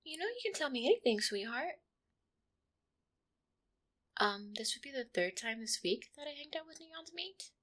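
A woman voicing an affectionate, insecure catgirl girlfriend in an intimate roleplay, with a hesitant, soft-hearted manner. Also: high-pitched, big pitch swings, moderate pace, long pauses. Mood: puzzled, probing. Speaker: roleplay audio performer. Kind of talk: intimate one-sided roleplay audio acting.

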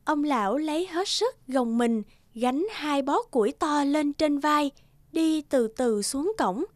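A woman's voice: high-pitched, expressive, slow, dry recording. A woman narrator reading a scripted storybook tale. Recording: loud guitar music underneath.

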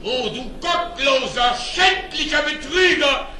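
A man's voice: extremely high pitch, takes it slowly, pushed and bright, slight background noise, full character voice. An actor playing an outraged, righteous man denouncing a villain in declamatory style in a fairy-tale audio play. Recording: echoey, distant, faint crowd.

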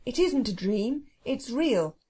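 A woman voicing an impatient, bossy schoolboy who takes charge in a brisk, commanding manner in a storybook. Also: a little high, very animated, warm tone, husky, exaggerated voice. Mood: insistent.

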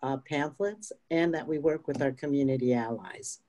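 A woman's voice: a bass voice, normal speed, mellow. An older woman, a union representative, speaking emphatically on a video panel and tagging points with "right?". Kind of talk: panel discussion. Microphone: video call.

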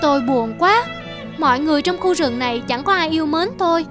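A woman's voice: high voice, expressive, fairly quick. A woman voicing a lonely, naive donkey in a cartoon fable, plaintive and earnest. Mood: sad, lonely. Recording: music under the voice.

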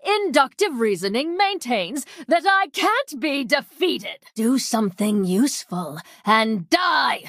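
Haughty, slow-talking mad scientist villainess. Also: female voice, sits high, very animated, a bit bright, dry recording, studio quality, heavily acted. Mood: coldly taunting. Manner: clinical, haughty, mocking, precise diction. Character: coldly arrogant female mad-scientist villain in a mech suit. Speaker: video game boss voice. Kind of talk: theatrical video game voice lines.

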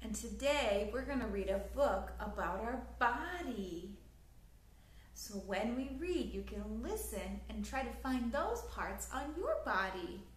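A woman in her thirties reading a picture book aloud to young children in an expressive, sing-song way, recorded in a living room. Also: a little high, unhurried, reverberant. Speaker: children's story time host. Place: small living room, indoors on a couch.

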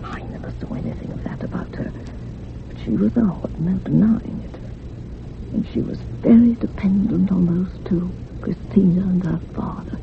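An actress playing a troubled, gossipy older housekeeper in a radio thriller, rambling dramatically and sometimes dropping to a hush. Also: female voice, fairly low pitch, normal speed, vocal fry. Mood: confiding, gossipy. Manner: rambling, dramatic, hushed at moments. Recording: muffled phone-like radio recording with hiss.

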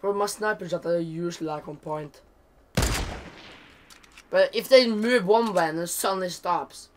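A man's voice: sits high, moderate pace, background noise. A young man from Norway whose voice keeps cracking, chatting casually with a teammate while gaming. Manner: laid-back, swears, laughs often.

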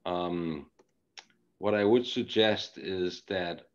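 A man's voice: sits low, slow. A middle-aged man from Denmark giving a casual webcast presentation from his living room at home, talking with many fillers and restarts. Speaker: engineering executive presenter. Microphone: laptop webcam mic, video call. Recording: dull lo-fi video call audio.

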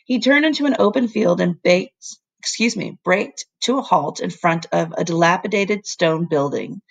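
A woman reads aloud to her class in a steady, unhurried storytelling way. Her voice is a little husky with a gravelly edge, and now and then she stops to fix a word or speak straight to the kids. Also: on the low side. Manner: plain, unhurried reading with small slips she corrects and asides to the kids. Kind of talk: read-aloud storybook narration.

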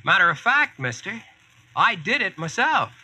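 Young American veteran, wry plain-talking storyteller, animated swings in pitch, 1940s radio play. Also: male voice, moderate pace, low-quality recording. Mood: wry, cocky.

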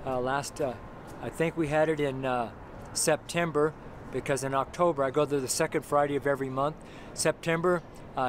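A middle-aged man preaching an informal sermon to the camera on a city sidewalk, rambling and repeating himself with many fillers and restarts. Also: a little high. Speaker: street preacher. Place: outdoors on a city sidewalk by a brick wall. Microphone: camera close up, handheld. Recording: noisy outdoor street recording. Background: street traffic noise.

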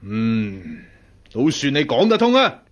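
An actor playing a gruff, suspicious sworn-brother warrior with a husky voice in a radio drama. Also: male voice, mid-range voice, wildly swooping pitch, slow, dull audio. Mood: wary, stern. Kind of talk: Cantonese radio drama acting.

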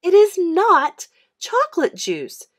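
A woman voicing a bossy, indignant little girl in a storybook, loud, insistent and scolding. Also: extremely high pitch, wildly swooping pitch, slow pace, pitched above the performer's own voice, exaggerated voice.

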